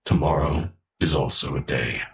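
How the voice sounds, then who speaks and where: A man narrating a dark sci-fi audio drama as a grave, brooding storyteller. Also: rumbling low, animated, moderate pace, gravelly, heavily acted. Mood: wry gravity.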